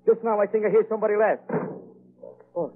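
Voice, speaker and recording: Guy acting a scared henchman, high raspy voice, broken english, old phone-thin 1930s radio sound. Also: steady tone, quick pace, faint music behind, natural voice. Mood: frightened. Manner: nervous, broken simple English. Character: jumpy, superstitious male henchman, scared of the dark. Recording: muffled scratchy 1930s radio recording.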